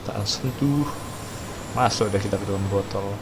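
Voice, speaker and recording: A man's voice: on the low side, brisk, background noise. A man with an Indonesian accent giving casual let's-play commentary, informal, slangy and muttering. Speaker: game streamer. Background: game audio.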